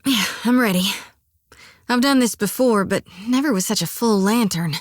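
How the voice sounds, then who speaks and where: A woman's voice: mid-range voice, normal speed, no room sound, studio quality, close to an everyday voice. An actress voicing a young woman priest who carries a soul-reaping lantern, speaking with a folksy drawl, earnest and devout. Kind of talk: video game voice acting. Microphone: close studio mic.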